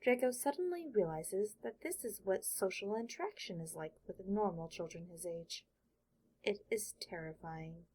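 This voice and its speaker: A woman's voice: medium pitch, expressive, moderate pace, darkish tone, rough recording. A woman reading scripted fiction as an audiobook narrator, telling the story in present tense.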